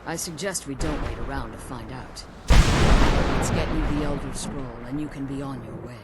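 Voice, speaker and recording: Over very loud background noise, an ancient vampire mother speaks in formal, measured phrases. She is a stern and protective video game character, guarded and eloquent. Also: a bass voice. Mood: grave astonishment. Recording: very loud battle-like noise behind.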